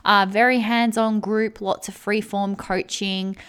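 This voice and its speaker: A woman's voice: mid-range voice, little pitch movement, measured pace, a bit bright, clean tone. A woman hosting a podcast, a little sick with a sore throat, talking casually and upbeat with many ums. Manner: chatty, upbeat, frequent ums. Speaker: podcast host and weight loss coach.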